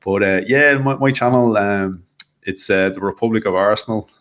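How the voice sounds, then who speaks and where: A deep-voiced Irish man chatting as a guest on an online fan show. His talk is casual and self-deprecating, full of small restarts. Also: normal speed, a bit bright. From Ireland. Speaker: podcast guest. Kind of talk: casual chat.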